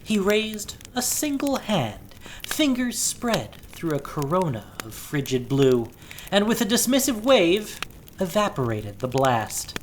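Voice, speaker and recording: A woman narrating fantasy fiction in a deliberate, theatrical and intense way. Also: lowish voice, big pitch swings, slight background noise, rough recording.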